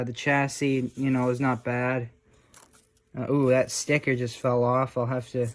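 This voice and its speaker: A man giving casual, hands-on repair commentary as a hobbyist, thinking aloud in an informal way. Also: medium pitch. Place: indoors.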